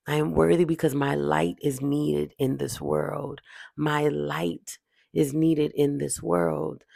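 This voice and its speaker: A meditation guide speaking in a soothing, deliberate way, repeating affirmations with drawn-out pauses. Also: mid-range voice, vocal fry, darkish tone, no echo, clean studio sound.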